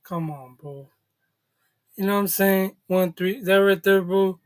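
A man's voice: sits high, measured pace. A man in his thirties hosting a reaction video, talking in laid-back street slang in a small room. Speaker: reaction channel host. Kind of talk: casual reaction commentary. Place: small indoor room, seated at a desk.

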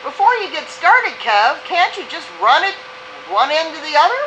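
A woman's voice: high voice, very animated, moderate pace, fairly bright tone, dull audio, slight background noise. A woman off camera asking short, playful questions during a welding demonstration. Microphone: off-mic, from behind the camera.

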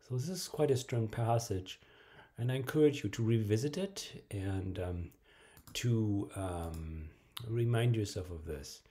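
A middle-aged man preaching a home video sermon and reading scripture aloud, in a measured way with frequent ums, in a bright room at home. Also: medium pitch.

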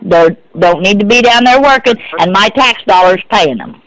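A woman's voice: mid-range voice, normal speed, a bit bright. A middle-aged woman, speaking insistently and repeating herself in exasperation on a phone call. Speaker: prank call victim. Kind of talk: phone conversation.

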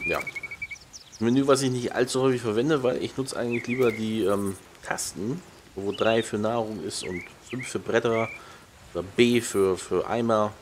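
A man's voice: fairly low pitch, fairly even intonation, moderate pace, slight background noise. A man hosting a let's play, casually commenting, muttering to himself and reading out prompts. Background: game music and island sounds.

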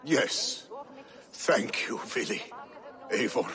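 An actor playing a humble, dignified old Viking jarl in a video game cutscene, speaking in a halting, solemn, gravelly way. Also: male voice, on the low side, very animated, a bit bright, heavily acted. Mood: moved, humble. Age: older. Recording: long hall reverb.

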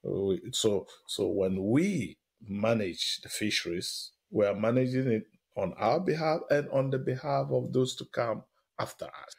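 A man being interviewed on the radio, telling stories in an animated way, repeating words and checking in with "right?". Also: medium pitch, unhurried, vocal fry, breathy edge. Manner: animated storyteller who repeats words and often tags phrases with "right?". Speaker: guest, professor.

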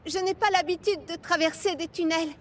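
A woman voicing a young female steam engine in a children's cartoon, timid but willing, polite and expressive. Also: extremely high pitch, brisk. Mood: nervous. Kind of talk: animated children's series dubbing.

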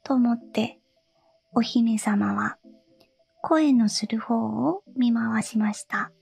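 Woman, fairy-tale narration, breathy, whispered, slightly high. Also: slow. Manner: soft whisper.

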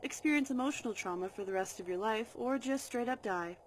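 A woman voicing a stern, deadpan young female team leader in a fan-made parody cartoon dub. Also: on the high side, a little fast, slight background noise, lightly acted.

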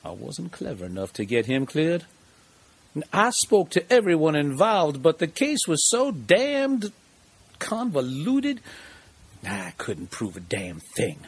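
A man performs a middle-aged small-town lawyer for an audio drama. The voice is husky and swings widely in pitch. He is genial and eccentric, taking his time like a folksy storyteller. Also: wildly swooping pitch, exaggerated voice. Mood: regretful. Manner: folksy, rambling, deliberate storyteller.

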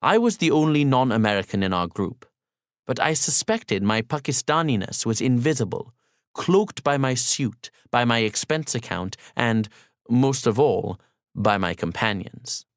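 A courteous young Pakistani man, played by a male audiobook reader, confides his story in a mid-pitched voice. He speaks formally and deliberately, pausing with care as if to a single listener. The sound is dry, with no room echo. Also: animated. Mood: reflective, confessional. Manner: formal, polite, measured, deliberate pauses. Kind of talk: first-person audiobook narration as dramatic monologue.

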